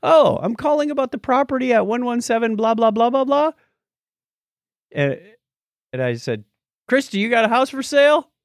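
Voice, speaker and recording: A man's voice: extremely high pitch, sing-song, normal speed, long pauses, no room sound, studio quality. A man co-hosting a podcast in casual chat, telling jokey stories and acting out both sides of conversations. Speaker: podcast co-host.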